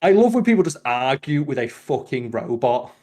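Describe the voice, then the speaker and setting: A man's voice: mid-range voice, very animated, moderate pace, dark tone. A man chatting casually as a podcast host, blunt and opinionated, with plenty of swearing.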